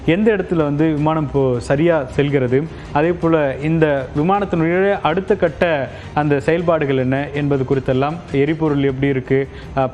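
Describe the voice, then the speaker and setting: A man's voice: a little high, darkish tone. A man with a Tamil accent explaining a news story as a correspondent, stressing key words emphatically. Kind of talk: semi-scripted explanatory news report. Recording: slightly dull sound, background noise.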